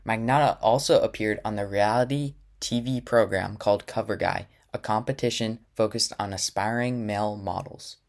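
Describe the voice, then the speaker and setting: A man with a lowish voice narrates a documentary from a script. His delivery is even and measured. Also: vocal fry.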